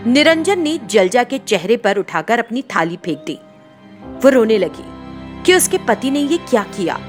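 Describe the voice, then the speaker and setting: High-pitched woman narrating a story. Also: sing-song, measured pace, soft background music.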